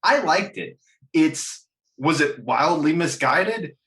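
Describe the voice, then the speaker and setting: A man's voice: a little high, slow. A man in a casual chat, rambling and swearing freely, often laughing as he talks. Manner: animated, rambling, profane, laughs while talking. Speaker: podcast panelist discussing films and games.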